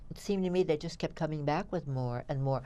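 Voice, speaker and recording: A woman's voice: low-pitched, brisk, dark tone. A woman co-hosting a theatre review show as a critic, chatting in a digressive way and searching for words.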